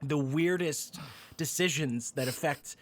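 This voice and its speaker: A man's voice: a little high, unhurried, no echo. A man hosting a podcast, chatting casually with sarcastic, exaggerated banter. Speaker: podcast host. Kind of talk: casual joking podcast chat.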